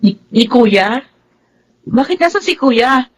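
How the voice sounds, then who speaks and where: A woman acting in a Filipino radio drama as an excitable, nosy young sister-in-law with a Filipino accent and fretful, exclaiming delivery. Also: fairly high pitch, slow pace. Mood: worried.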